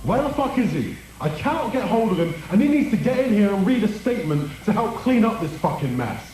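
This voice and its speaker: A man acts as an enraged, swearing TV executive in a horror tape. His voice is high and swings widely as he berates his staff, far off and drenched in echo. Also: very animated, fairly quick, a little mellow. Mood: furious. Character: furious, foul-mouthed broadcast executive berating his staff. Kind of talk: found-footage horror acting. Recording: distant, heavily echoing tape with music.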